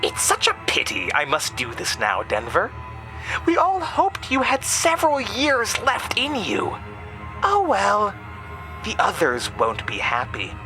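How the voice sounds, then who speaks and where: A man's voice: high-pitched, huge pitch swings, measured pace, music in the background, pitched above the performer's own voice, exaggerated voice, poor audio. A man voicing a sinister, gleeful monster posing as a female teacher, taunting with mock sweetness in a horror audio drama. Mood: mock regret.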